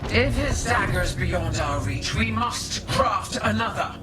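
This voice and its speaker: A high-pitched ancient god from a fantasy game, cold and imperious. Each word comes slowly and deliberately over loud music. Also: male voice, lively intonation. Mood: cold resolve. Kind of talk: scripted video game villain acting. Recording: loud music and rushing sound.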